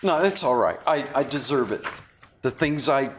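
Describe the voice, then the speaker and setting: An actor at a table read playing a remorseful, philosophizing man, with a halting, confessional and earnest manner. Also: mid-range voice, expressive, fairly bright tone, natural voice. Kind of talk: read-through of a stage drama script. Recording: clearly noisy room recording.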